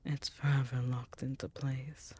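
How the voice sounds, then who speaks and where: A woman playing an ancient demon queen, a tender, teasing succubus, speaking softly and breathily in an intimate ASMR roleplay, often in whispers. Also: extremely low pitch, level tone, measured pace, slightly rough, exaggerated voice. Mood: remorseful, reflective.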